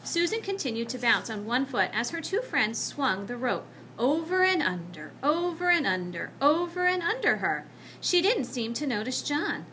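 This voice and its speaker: A woman reading a children's book aloud as an expressive narrator. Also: high-pitched, moderate pace, a little hiss or hum, rough recording. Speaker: storybook reader.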